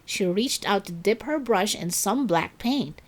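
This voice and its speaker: A woman hosting and narrating a children's story in an expressive, deliberate read-aloud style. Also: medium pitch. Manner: slow, deliberate, animated delivery for children. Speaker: story reader and channel host.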